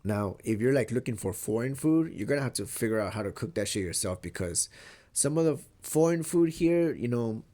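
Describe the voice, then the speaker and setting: A man's voice: medium pitch, lively intonation, fairly quick, mellow. A young man from Mexico talking casually in a vlog, with a stuffy, nasal voice from a cold, rambling and swearing easily. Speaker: vlogger.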